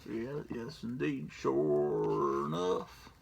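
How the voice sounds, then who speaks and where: A man's voice: medium pitch, sing-song, very slow, noisy background. A middle-aged man in a casual, rambling show-and-tell, profane and digressive with a self-mocking streak. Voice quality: gravelly.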